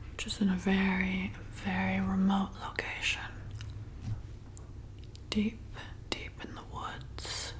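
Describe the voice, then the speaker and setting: A woman playing a flirty, commanding vampire bartender in an ASMR roleplay, softly coaxing and hypnotizing in a breathy whisper. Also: medium pitch. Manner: slow, soothing, coaxing, deliberate.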